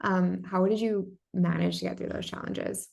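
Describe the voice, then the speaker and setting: A woman's voice: lowish voice, steady tone, normal speed, gravelly, a little mellow. A woman hosting a podcast, reading a scripted welcome and then interviewing her guest with gushing enthusiasm. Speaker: podcast host.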